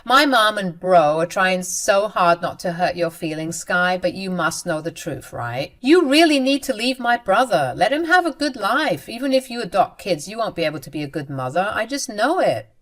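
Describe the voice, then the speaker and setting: A woman's voice: medium pitch, sing-song, normal speed, hardly any pauses, natural voice. Woman reading as a spiteful, preachy sister-in-law. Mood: scornful. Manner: sneering, preachy. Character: spiteful, self-righteous adult sister-in-law.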